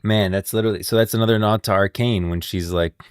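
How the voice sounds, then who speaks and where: A man with a low voice talks as a game streamer giving live lore commentary. His delivery is casual and rambling, often repeating itself as he thinks aloud. The sound is dry and free of room echo. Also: fairly even intonation, fast, clear, resonant tone.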